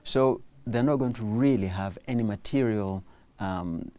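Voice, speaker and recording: A man's voice: on the low side, measured pace, mellow, no room sound. A man hosting an interview, thinking aloud and often restarting his sentences. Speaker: interview host. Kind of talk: interview conversation.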